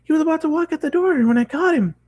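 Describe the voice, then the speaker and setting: A bubbly young female pony, acted out by a man, pitched far up. She is eager and determined, with perky exclamations, like a character in a read-aloud story. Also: rapid-fire, a little mellow.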